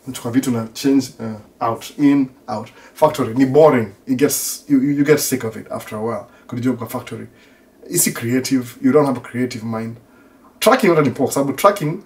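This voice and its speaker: Middle aged kenyan guy w slight american twang, swahili speaker, rambly casual podcast chat, bit far from mic, medium pitch. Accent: Kenyan with an American tinge. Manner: rambling, self-repeating, conversational. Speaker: podcast host answering listener questions.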